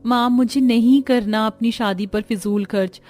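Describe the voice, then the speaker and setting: A woman's voice: on the high side, steady tone, unhurried, a little mellow, soft background music, lightly acted. A woman voicing a humble, earnest young daughter from a poor family in a moral-story cartoon. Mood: pleading.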